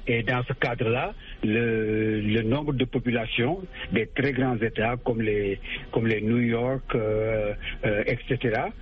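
A man's voice: mid-range voice, fairly even intonation, takes it slowly, slightly rough, narrow, tinny audio. A man explaining a political system as a radio guest, stopping often to hesitate and restart his sentences.

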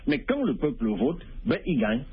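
A man's voice: medium pitch, normal speed, husky. A man, a guest on a radio show, wrapping up his point with conviction over a phone line. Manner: animated, concluding with conviction. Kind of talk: radio call-in commentary.

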